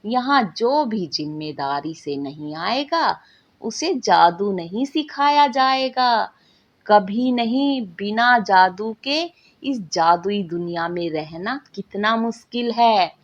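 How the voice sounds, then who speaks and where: A woman's voice: mid-range voice, lively intonation, takes it slowly, dull audio. A woman voicing a strict, commanding male magic teacher who lectures and scolds in a bedtime story. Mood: stern.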